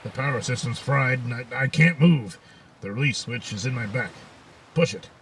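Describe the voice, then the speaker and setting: A man's voice: mid-range voice, moderate pace, gravelly, a bit bright. A man playing a pompous, hapless armored superhero in a comic audio serial, boastful and melodramatic, easily exasperated, recorded distantly with noise behind him. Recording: distant, roomy sound with background noise.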